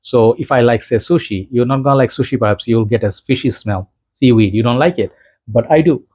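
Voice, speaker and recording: A man with a Bangladeshi accent speaks in an average-pitched voice. He chats as a hobby reviewer would on his own channel, informal and friendly in how he addresses viewers. The delivery rambles along like unscripted conversation. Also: a little fast, darkish tone, husky. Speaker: fragrance reviewer and seller. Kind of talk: casual vlog chat to viewers.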